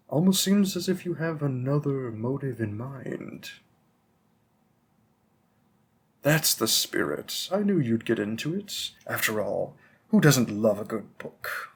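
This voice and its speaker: Casting: male actor as a long-lived bookish shopkeeper for roleplay audio, unhurried, slightly husky, courteous, hesitant and stalling. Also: medium pitch, heavily acted. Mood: musing, coaxing. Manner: polite, hesitant, rambling, gently formal. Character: long-lived, bookish, old-fashioned male bookstore keeper, politely stalling. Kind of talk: scripted roleplay audio drama, addressing the listener.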